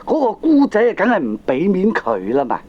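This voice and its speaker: A man's voice: high voice, huge pitch swings, a little fast, darkish tone, exaggerated voice. An actor playing a foolish, money-grubbing middle-aged father and gambler in a Cantonese comedy radio drama, with a Hong Kong accent and exclamatory, wheedling, argumentative speech. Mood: exasperated, teasing. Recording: dull lo-fi old cassette tape.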